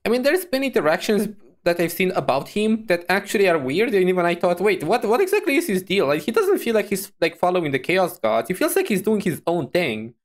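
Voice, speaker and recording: A woman streamer giving casual, chatty reaction commentary, expressive and often repeating her phrases. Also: on the low side, fairly quick.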